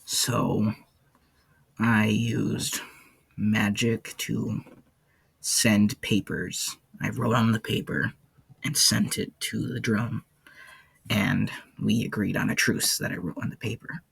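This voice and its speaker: A man playing a weary, haunted ancient warden in an audio log, speaking in a halting, somber way with a gravelly, husky low voice. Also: flat delivery, a bit bright, dry recording, heavily acted. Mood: somber, reflective. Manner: halting, somber, hesitant delivery. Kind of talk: character audio log, slow monologue.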